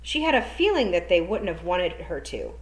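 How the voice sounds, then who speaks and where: Woman reading aloud, lively pitch. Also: normal speed. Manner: lively expressive reading, a few slips. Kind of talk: storytime read-aloud. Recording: echoey room.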